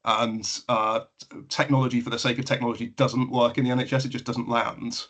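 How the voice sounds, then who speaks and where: A man speaking conversationally on a webinar panel, with a hesitant, halting delivery. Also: medium pitch, flat delivery, fairly bright tone.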